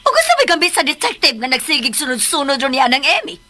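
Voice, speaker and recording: A domineering Filipino mother in a radio serial. Her voice is high and bright, swinging widely as she exclaims with suspicion and indignation. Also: big pitch swings, fast talker, heavily acted. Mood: indignant, alarmed. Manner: exclamatory, indignant, switches into English. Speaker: radio drama actress. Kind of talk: emotional radio drama acting. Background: background music.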